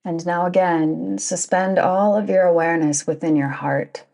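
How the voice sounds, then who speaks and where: A woman guiding a yoga nidra meditation in a soothing, deliberate manner, with long pauses between phrases. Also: fairly low pitch, steady tone, creaky, a little mellow, low-quality recording. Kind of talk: guided meditation narration.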